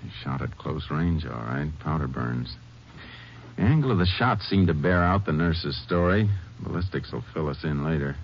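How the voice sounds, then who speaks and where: Very deep-voiced, laconic Texas Ranger. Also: male voice, lively intonation. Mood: grave, businesslike. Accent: American. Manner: measured, laconic, polite questioning. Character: calm, courteous middle-aged male Texas Ranger investigator. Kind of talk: 1950s western radio drama acting. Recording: muffled, noisy 1950s radio recording.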